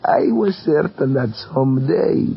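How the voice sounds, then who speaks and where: An actor playing a fawning, sinister old prop keeper in a 1940s radio drama, with a gravelly, halting, theatrical delivery. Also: male voice, medium pitch, expressive, full character voice. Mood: insinuating. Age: older. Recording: muffled old radio broadcast, faint music.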